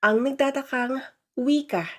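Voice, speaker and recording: A woman with a very high voice narrates a scripted drama. She tells it in the first person, in the style of radio storytelling. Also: unhurried, a bit bright.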